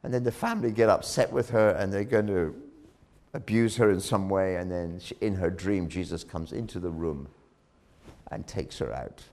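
A man preaching a sermon, telling a story dramatically, rising loud on key words and dropping low again. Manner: dramatic, swells loud on key phrases then drops low.

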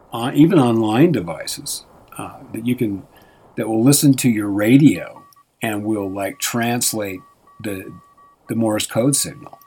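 A man's voice: on the low side, a little mellow, slightly rough. A man co-hosting a radio show, chatting in a loose, rambling way with lots of fillers and restarts. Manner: meandering, many fillers and restarts. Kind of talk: rambling casual radio chat.

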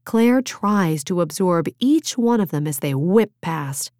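A woman reading a novel as an audiobook narrator, measured and expressive in her storytelling. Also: on the low side, no room sound, studio quality.

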